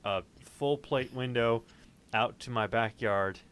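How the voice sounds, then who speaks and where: A man's voice: medium pitch, slow pace, fairly bright tone, clean tone. A man chatting casually in an informal storytelling way, with plenty of swearing.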